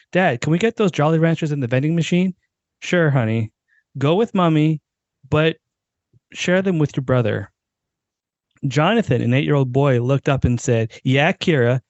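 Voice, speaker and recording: A man's voice: medium pitch, darkish tone, no room sound, lo-fi sound. A man, a podcast co-host, giving a dramatic reading of a short story and now and then tripping over words.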